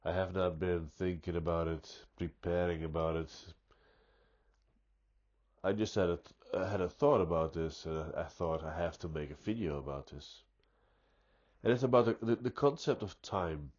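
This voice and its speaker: A man with a stuffy nose giving an unscripted, reflective monologue, speaking deliberately and often restarting his sentences. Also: low-pitched, darkish tone, lo-fi sound. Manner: slow, deliberate, thoughtful, with frequent restarts. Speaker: pipe-smoking vlogger.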